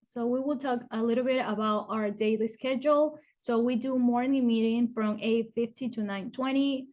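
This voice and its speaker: A woman's voice: on the high side, fairly even intonation, a little mellow. A woman kindergarten teacher from Ecuador, introducing herself and listing the daily schedule and math topics, with frequent uhs. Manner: rattles off schedules quickly with uh fillers. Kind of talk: presenting a school info video.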